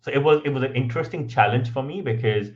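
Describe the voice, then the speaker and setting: A man speaking English as a second language, guesting on a podcast and telling his story in a relaxed, conversational way, with many ums and "right?" tags. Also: medium pitch, fast talker, dull audio. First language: not English. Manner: frequent ums and restarts, tag questions of "right?".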